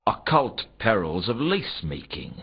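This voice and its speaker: An actor playing a world-weary, wry noir detective, narrating a game in a deadpan, sardonic way. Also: male voice, on the low side, measured pace, breathy edge, exaggerated voice. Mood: wry, brooding. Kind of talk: scripted first-person noir game narration. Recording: dull lo-fi game audio.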